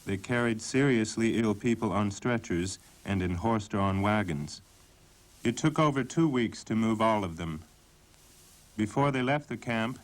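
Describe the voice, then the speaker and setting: A man reading scripted documentary narration in a measured, sober way. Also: fairly low pitch, poor audio. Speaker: documentary narrator and voice-over translator.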